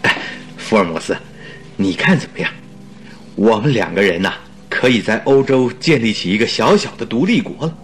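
An actor playing an eager, talkative doctor and detective's sidekick in a radio drama, exclaiming theatrically. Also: male voice, on the low side, expressive, moderate pace, soft background music. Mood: excited, jovial. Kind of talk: Mandarin radio drama acting.

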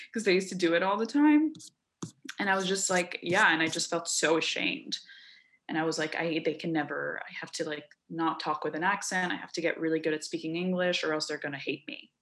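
A young woman from Lebanon tells a story from her own life in a relaxed chat. Her voice sits fairly low, and she slips into quick little voices for the people she quotes. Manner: animated, quoting people in voices. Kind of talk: casual storytelling.

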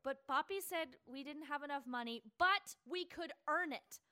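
A woman playing an eager, inquisitive young schoolchild, naive and expressive, in an audio play. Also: sits high, normal speed. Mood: eager, confiding.

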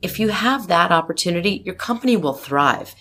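A woman's voice: fairly low pitch, lively intonation, brisk, a bit bright. A woman in her forties, a marketing executive, talking in an interview in an emphatic, outspoken and optimistic way. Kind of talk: interview conversation.

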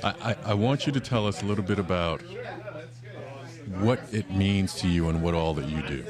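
A very deep-voiced man hosts a chat at a crowded event, with people talking all around him. He speaks with enthusiasm and stumbles over repeated words as he begins his thoughts. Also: moderate pace. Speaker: co-host. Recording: crowded room chatter.

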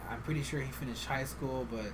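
A man's voice: mid-range voice, fairly quick, very noisy. A young man from Iowa with a faintly Midwestern accent and a slight lisp, telling stories animatedly in casual chat and laughing often. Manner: animated storyteller, laughs often, acts out dialogue.

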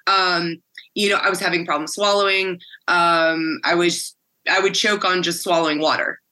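A woman in her forties speaks in a lowish, raspy voice that still cuts through with a bright, forceful edge. She tells her story candidly and conversationally, with plenty of ums and uhs as she works through it. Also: steady tone, moderate pace. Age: 40s. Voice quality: deep, raspy voice that tires easily. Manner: candid storytelling with frequent fillers. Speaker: guest, former butcher shop owner. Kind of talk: casual interview conversation.

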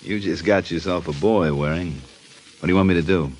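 A man acting a streetwise small-time private investigator in an old-time radio drama, gruff, with slangy tough-guy talk. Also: low voice, a little fast, darkish tone, natural voice. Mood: affable. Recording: noisy vintage radio recording.

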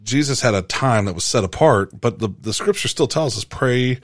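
A man with a deep voice in a casual faith discussion, rambling with many halting restarts. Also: lively intonation, no room sound, studio quality.

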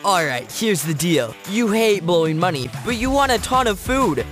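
A man's voice: high voice, sing-song, normal speed, a bit bright. Young male voice over music. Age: teens to young adult. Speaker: young voice actor. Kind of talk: commercial voice-over and testimonial. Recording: produced demo with music behind.

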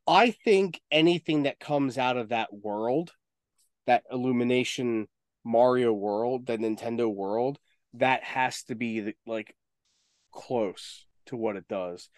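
A man's voice: medium pitch, slow, dry recording, clean studio sound. A man chatting casually as a podcast co-host, informal and rambling, given to swearing. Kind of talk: casual chat about video game movies.

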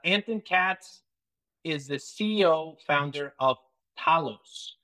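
A man's voice: fairly high pitch, takes it slowly, sharp tone, poor audio. A man hosting a podcast interview, reading a guest introduction and then chatting casually and enthusiastically. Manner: enthusiastic, reads long intro then chats. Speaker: podcast host.

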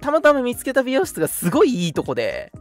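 Animated young man talking over soft music. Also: very high-pitched, fast talker. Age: 20s. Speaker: radio show co-host. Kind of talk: casual chat. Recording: music playing behind the talk.

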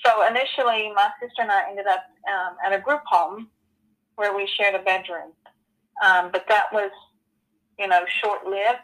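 A woman in her forties telling her personal story as an interview guest, earnest and halting, with many ums and you-knows. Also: medium pitch, fairly even intonation, a bit bright, rough recording. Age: 40s.

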